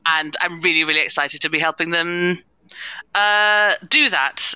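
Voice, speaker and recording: Female panelist, very bright crisp tone, thoughtful and earnest, close dry sound. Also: fairly low pitch, unhurried, no room sound, poor audio. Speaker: panelist, head of inclusion at an audio drama company. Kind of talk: live panel discussion.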